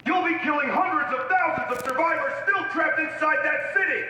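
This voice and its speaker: A man's voice: very high voice, brisk, full character voice. A man playing an outraged chief adviser, protesting indignantly in a horror audio drama. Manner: indignant, forceful. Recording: very echoey hall, distant.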